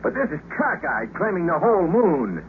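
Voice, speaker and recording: An actor playing a brash, wry American astronaut in a 1950s radio drama, breezy and sardonic in an American accent. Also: male voice, a little high, sing-song, normal speed. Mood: wry, defiant. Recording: noisy phone-like 1950s radio recording.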